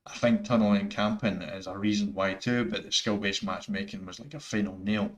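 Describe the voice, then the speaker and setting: A man's voice: lowish voice, fairly even intonation, a little fast. A man with a thick Scottish accent, giving rambling, earnest opinions over gameplay footage, full of fillers and restarts. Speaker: gaming YouTuber.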